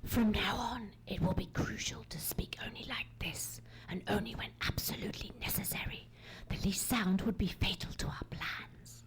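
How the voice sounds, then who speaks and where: A woman's voice: medium pitch, steady tone, moderate pace, in a whisper, lightly acted. A woman playing a keen, commanding male detective in a live old-time radio mystery, speaking precisely and theatrically. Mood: tense, secretive. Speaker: radio play actor. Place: theatre stage. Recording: noisy live theatre stage recording.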